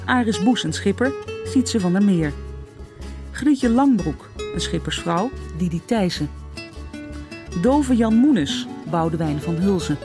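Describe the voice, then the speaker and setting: Calm woman announcer over music. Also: medium pitch, slow pace. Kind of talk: reading radio drama credits.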